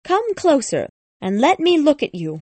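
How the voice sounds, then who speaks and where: A man voicing an eerie, proud and bitter old jilted bride in a high, strained falsetto, slow and haunting. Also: wildly swooping pitch, a little mellow, no echo, exaggerated voice. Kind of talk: audiobook reading.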